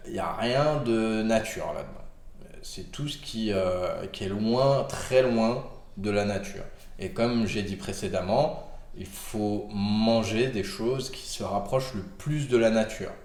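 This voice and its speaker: A man's voice: fairly low pitch, darkish tone, roomy sound. A man with a cold giving casual health advice to camera, rambling with many fillers and restarts. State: has a cold.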